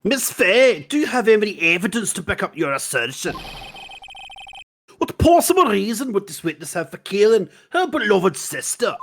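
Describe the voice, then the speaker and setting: A man's voice: high voice, big pitch swings, moderate pace, a bit bright, a little hiss or hum, exaggerated voice. A man playing a flustered, easily baffled old judge in a live dub of a courtroom game. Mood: stern.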